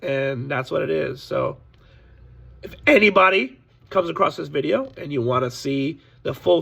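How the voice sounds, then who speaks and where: A hoarse man talking candidly to his viewers in a casual vlog, complaining about a deal and warning them. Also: fairly high pitch, fairly bright tone. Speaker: sports card seller. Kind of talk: casual direct-to-camera vlog.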